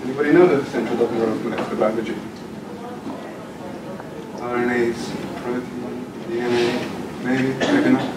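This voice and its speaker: A man's voice: medium pitch, normal speed, creaky, pauses often. Young male student speaker, easygoing presenter with audience banter; far mic, echoey seminar room. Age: young adult. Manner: relaxed, joking with audience. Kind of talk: informal presentation. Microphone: far room camera. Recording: echoey room, distant mic, background noise.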